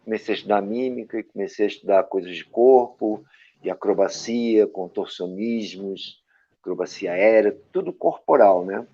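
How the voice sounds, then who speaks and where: A man from Brazil, an actor and director, telling stories from his career as an interview guest, with a lively anecdotal manner and frequent tag questions. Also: on the low side, moderate pace. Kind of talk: storytelling interview answers. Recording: dull lo-fi audio.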